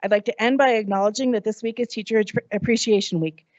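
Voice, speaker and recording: A woman's voice: medium pitch, fairly even intonation, a little mellow, dry recording. A middle-aged woman, an educator, reading an earnest prepared public comment at a podium microphone. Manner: earnest, measured, read from notes. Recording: dull lo-fi meeting audio.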